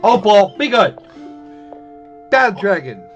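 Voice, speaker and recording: High-pitched man, rambling game stream host. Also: sing-song, slow pace. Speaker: livestream host playing games. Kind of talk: casual live game commentary. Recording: muffled, dull band-limited sound. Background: faint game music.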